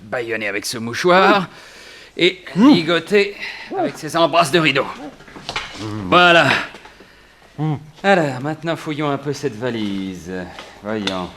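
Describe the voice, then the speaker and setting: An actor playing a suave, teasing gentleman thief in a theatrical 1960s French radio crime serial. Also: on the high side, very animated, unhurried, long pauses, background noise. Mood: triumphant, mocking. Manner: theatrical, playful, exclaiming.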